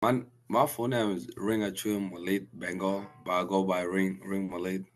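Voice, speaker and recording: A young man from Sudan speaks in a very low, level voice. He answers softly in a casual interview and restarts often, searching for the words. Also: fairly even intonation, a little hiss or hum. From South Sudan. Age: young adult. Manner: soft-spoken, halting, with many restarts and fillers. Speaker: college basketball player, guest.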